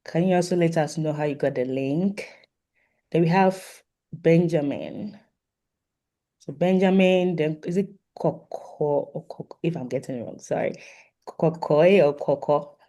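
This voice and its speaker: Mellow-voiced host of an online meeting. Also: medium pitch, unhurried. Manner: polite, inviting participants to introduce themselves. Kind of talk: informal moderating of a video call meeting. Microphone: video call microphone.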